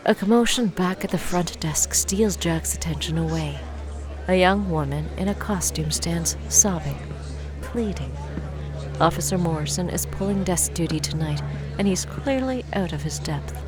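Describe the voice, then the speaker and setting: A woman's voice: on the low side, moderate pace. A woman reading scripted dramatic narration as the narrator of an audio drama. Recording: music behind the voice.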